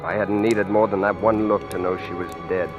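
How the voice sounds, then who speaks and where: Actor as hesitant, low-voiced frightened everyman. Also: steady tone, a little fast, dull audio, lightly acted. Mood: tense dread. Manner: hesitant, stammering. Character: frightened ordinary husband mistaken for a killers' client. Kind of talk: 1940s radio acting, first-person suspense narration. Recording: old-time radio recording with music.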